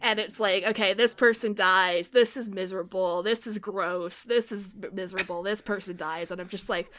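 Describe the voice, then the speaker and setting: A woman's voice: mid-range voice, steady tone, normal speed, fairly bright tone. A woman running a tabletop game, chatting casually about the session, with many filler words and easy laughter. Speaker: game master. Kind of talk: casual podcast chat after a tabletop game. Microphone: video call.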